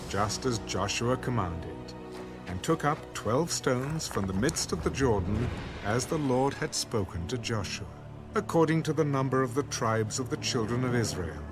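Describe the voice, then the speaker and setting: A man narrating a scripted, dramatized audio Bible in a measured, solemn way. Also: medium pitch.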